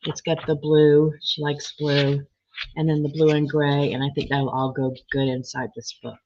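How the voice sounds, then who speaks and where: Lady crafter chatting while she cuts paper, kinda froggy and husky, lowish voice, muffled lo-fi sound. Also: level tone, normal speed, darkish tone. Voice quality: slightly hoarse, froggy. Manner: chatty, thinking aloud while working, reading comments. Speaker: crafter showing a project. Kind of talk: casual crafting chat. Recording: dull lo-fi sound.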